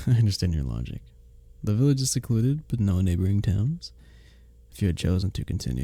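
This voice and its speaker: A man playing a husky, courteous medieval village innkeeper. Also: deep voice, dry recording, full character voice. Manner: gentle, formal storybook phrasing, hesitant and tender. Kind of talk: intimate ASMR roleplay audio drama.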